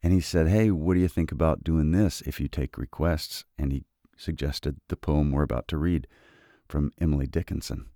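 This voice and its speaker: A man hosting a literature podcast who reads classic poetry slowly and softly in a relaxed, unhurried way. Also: dry recording, clean studio sound. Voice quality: very deep, gravelly voice. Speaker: podcast host and reader. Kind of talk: slow, measured poetry reading.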